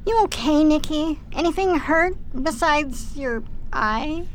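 A woman's voice: high-pitched, expressive, slow pace, slight background noise. A woman playing a loyal, dutiful droid police partner in an audio drama, earnest and composed. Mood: caring, matter-of-fact.

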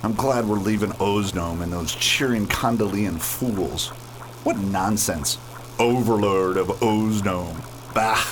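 A man's voice: lowish voice, slow pace, a little hiss or hum. A man acting a brooding, greedy villain in a space radio play, with a disdainful manner. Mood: scornful. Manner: disdainful, muttering to himself. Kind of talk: amateur radio theater acting.

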